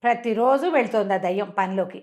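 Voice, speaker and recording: A woman's voice: medium pitch, fairly quick, darkish tone. A woman telling a bedtime folktale as a storyteller, in a conversational way with phrases repeated for effect. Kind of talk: oral bedtime folktale narration.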